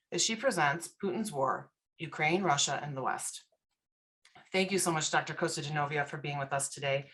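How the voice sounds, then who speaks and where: A woman with a low, deep voice hosts an online alumni event. She reads a formal, upbeat welcome over a video call and introduces the speaker. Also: a bit bright, rough recording. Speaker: host, alumni association director.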